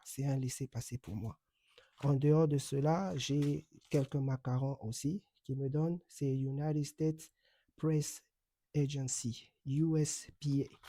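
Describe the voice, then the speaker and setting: Middle-aged Congolese-born man, Kikongo native, very dark mellow tone, measured and halting commentary, dry close mic. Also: mid-range voice, no echo. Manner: measured, explanatory, with frequent hesitations. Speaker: online commentator and accredited journalist. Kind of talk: direct-to-camera commentary. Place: home in the United States.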